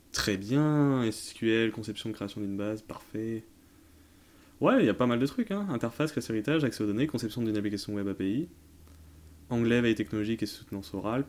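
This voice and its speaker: A man's voice: mid-range voice, warm tone. A man hosting a tech Q&A in a casual, unscripted way, often hesitating as he thinks aloud and using informal slang. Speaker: tech tutorial host answering viewer questions. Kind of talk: casual off-the-cuff explanatory commentary.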